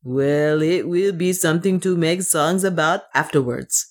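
A plain-spoken dwarf manservant from an adventure tale, acted by a woman narrator. The voice sits low and is earnest, practical and deferential, with a steady loyal courage. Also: measured pace. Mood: nervously brave. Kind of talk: dramatised audiobook dialogue.

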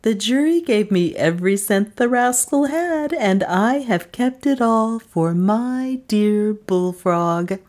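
A woman's voice: medium pitch, animated, takes it slowly, hardly any pauses, a little mellow, voice pushed up. A woman playing a sly domineering wife. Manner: arch, coaxing, theatrical lilt. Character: bold scheming middle-aged wife, sly and domineering.

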